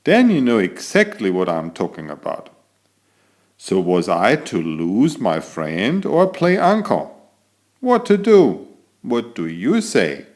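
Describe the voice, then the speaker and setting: A man's voice: lowish voice, huge pitch swings, slow, darkish tone, full character voice. A man voicing an elegant, vain tomcat who chats theatrically to young listeners while telling a children's picture-book story. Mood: confiding. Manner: self-admiring, theatrical, addresses young listeners directly.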